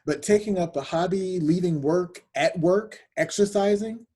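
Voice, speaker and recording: A woman's voice: lowish voice, unhurried, warm tone, husky. A woman reading the part of a cheery, corny doctor in a comedy script table read. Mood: advising.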